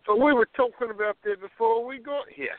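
A man's voice: extremely high pitch, fairly quick, dry recording. A man playing a cheeky, mischievous neighbour with a teasing manner in a radio play. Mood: playful. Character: Earl, cheeky, grinning male neighbour. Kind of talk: amateur radio play acting. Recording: thin phone-like audio, dry.

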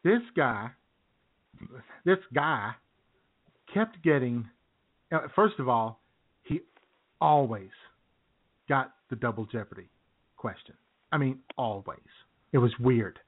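A man's voice: mid-range voice, expressive, slow, long pauses, husky. A middle-aged man, co-hosting a radio comedy show and telling rambling stories in casual banter. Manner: chatty, rambling storyteller with chuckles. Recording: tinny phone-line sound.

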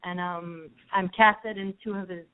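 A woman's voice: lowish voice, fairly even intonation, a little slow. A young Israeli-born woman, an acting student, calling in to a radio show and talking earnestly and upbeat about her classes. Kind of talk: casual phone interview. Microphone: telephone. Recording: tinny phone line.

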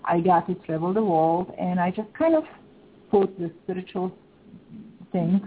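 A woman from the Czech Republic with a Czech accent, a guest pet psychic on a call-in show, speaking careful, halting English. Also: on the low side, fairly even intonation, slight background noise. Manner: careful, halting English with small grammar slips. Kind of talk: call-in radio chat. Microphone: Skype call. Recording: thin phone-line sound.

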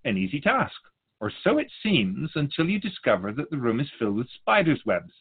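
Guy playing an otherworldly keeper spirit, formal patient teacher type, pitch moves around a lot, measured delivery, podcast drama. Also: huge pitch swings, husky, phone-quality sound, full character voice. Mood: patient, explanatory. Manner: measured, didactic, formal phrasing. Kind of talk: scripted dialogue in a fiction podcast.

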